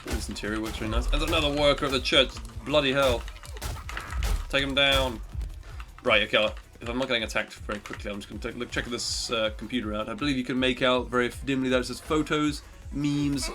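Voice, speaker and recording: A man with a stuffy nose from hay fever, rambling through casual, self-deprecating gameplay commentary. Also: medium pitch. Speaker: gaming YouTuber. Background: game music.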